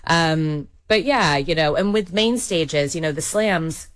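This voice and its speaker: A woman who works as a story director, answering in a chatty, animated way with frequent ums. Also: low voice, unhurried, no echo. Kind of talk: conversational interview answer.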